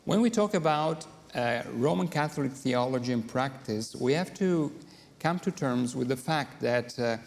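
A man with an Italian accent delivers a conference talk in English, which is not his first language. He speaks in a middle register, halting often on fillers as he gathers his words. His voice rings out with a long hall reverb. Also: mid-range voice, animated, darkish tone. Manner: frequent hesitations and fillers. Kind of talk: conference lecture.

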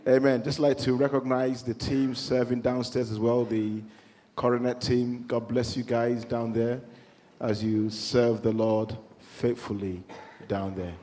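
A man's voice: medium pitch, unhurried. A man with a Nigerian accent, preaching in a church and telling funny stories to the congregation. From Nigeria (born in London). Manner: humorous storytelling, animated, working the congregation. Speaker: pastor. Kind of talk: sermon. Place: church hall.

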